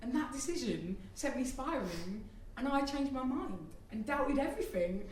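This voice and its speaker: A woman's voice: high voice, expressive, normal speed, darkish tone, slight background noise. A woman in her thirties doing stand-up comedy, chatty and self-deprecating with apologetic asides, recorded live on a theatre stage. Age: 30s. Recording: echoey venue, heard from a distance.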